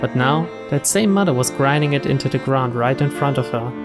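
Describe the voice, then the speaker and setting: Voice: medium pitch, animated, brisk. A narrator giving a scripted reading of a story from a visual novel. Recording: voice over clear string music.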